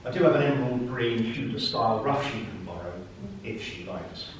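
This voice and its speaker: A man giving a dry, deadpan introduction as part of a live poetry performance. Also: medium pitch, brisk, a little hiss or hum. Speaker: performance poet. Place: live arts venue, indoors. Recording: echoey room from far off.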